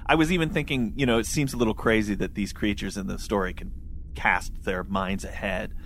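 A man's voice: on the low side, fairly even intonation, a little fast, fairly bright tone, slight background noise. A man co-hosting a podcast, talking casually about a book in a candid, self-deprecating, rambling way. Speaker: podcast co-host. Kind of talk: casual book discussion chat.

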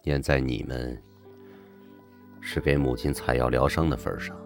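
An actor playing a cold, commanding fox monarch in a Chinese costume audio drama, imperious and threatening. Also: male voice, rumbling low, fairly even intonation, moderate pace, faint music behind. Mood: stern.

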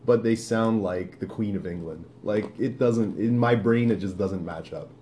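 A man's voice: lowish voice, moderate pace, mellow, a little hiss or hum. A man of Greek heritage co-hosting a podcast, chatting in a laid-back, thoughtful way and laughing easily. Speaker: podcast co-host. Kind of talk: casual chat.

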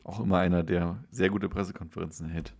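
Low, very creaky-voiced man. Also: fairly even intonation, quick pace, a little mellow. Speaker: football podcast co-host. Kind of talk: casual conversational football discussion.

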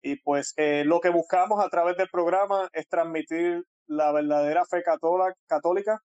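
A man with a Puerto Rican accent, a guest speaking in a halting way with frequent pauses over a remote call. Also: on the high side, fairly even intonation, darkish tone, clean tone, no echo, highs cut off. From Puerto Rico. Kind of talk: casual interview talk.

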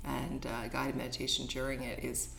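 A middle-aged woman, hoarse from talking too much, chatting as a podcast guest about her shop, with many fillers. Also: completely flat delivery, normal speed, fairly bright tone, slight background noise. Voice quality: deep, raspy voice. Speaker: guest, shop and cafe owner. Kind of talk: casual podcast conversation.

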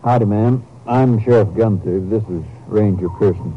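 A man's voice: lowish voice, normal speed, lightly acted. Man playing a folksy old-radio country sheriff. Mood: observant, neighbourly. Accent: American. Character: folksy, neighbourly male Texas county sheriff. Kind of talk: 1950s radio drama acting. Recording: noisy, muffled 1950s radio recording.

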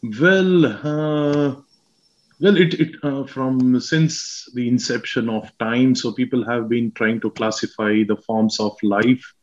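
Man, South Indian accent, explaining science, halting with fillers, dull lo-fi sound. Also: medium pitch, fairly even intonation. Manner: hesitant, many fillers and restarts. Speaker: guest scientist. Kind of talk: explaining science in a casual chat.

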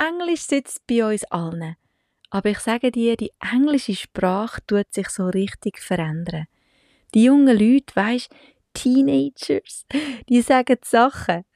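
Warm-voiced Swiss woman chatting. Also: mid-range voice, no room sound, studio quality. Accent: Swiss German. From Switzerland. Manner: chatty, laughs often, mixes in English words. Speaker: vlogger and mother. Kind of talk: casual chat to camera.

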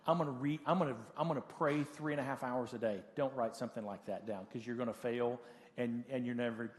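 A man in his late forties preaching a conversational sermon as a pastor, giving practical advice in a halting way and repeating phrases for emphasis. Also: mid-range voice. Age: late 40s. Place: church. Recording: hall reverb of a large room.

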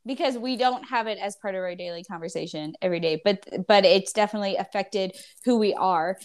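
A woman's voice: mid-range voice, fairly quick, darkish tone. A woman co-hosting a casual podcast chat, giggling and dropping wry asides. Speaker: podcast co-host.

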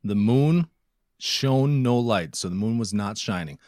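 A man's voice: lowish voice, expressive, measured pace, a little mellow, no room sound. Casual male podcast co-host, dry sound.

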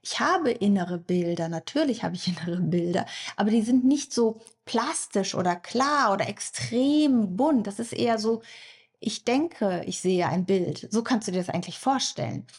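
A woman's voice: medium pitch, lively intonation, moderate pace, breathy edge. A woman hypnosis coach explaining to the listener in a podcast, often speaking the listener's inner thoughts aloud. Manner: warm explanatory, addresses listener directly, acts out inner thoughts. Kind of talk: podcast monologue.

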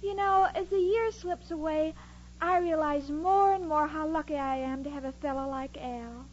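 A woman's voice: high-pitched, fairly even intonation, normal speed, lightly acted. An American woman playing a sweet, dim-witted, devoted young blonde in a 1940s radio comedy, naive and earnest. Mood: dreamy, devoted. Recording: muffled old radio broadcast, noisy studio audience.

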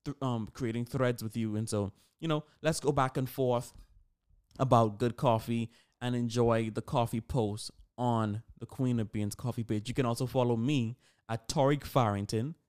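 Teenage man, smooth clear voice, medium pitch, casual podcast host, conversational, self-correcting. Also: fairly even intonation, normal speed, darkish tone. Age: 18 years old. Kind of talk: casual solo podcast talk.

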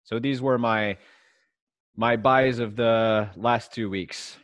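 A man's voice: medium pitch, steady tone, slow, a bit bright, no echo. French native guy doing casual market talk as a guest collector, really dry sound. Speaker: guest sports card investor and collector.

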